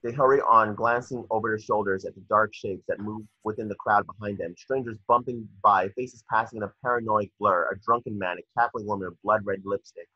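A man's voice: lowish voice, steady tone, a little fast, few pauses, breathy edge, no room sound. A young man narrating scripted stage directions from a screenplay over a video call. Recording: dull, muffled video call audio.

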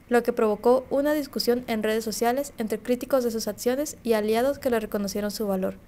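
A woman reporter voices a scripted news report in a steady, formal read. Her voice sits fairly high, and faint background noise runs under it.

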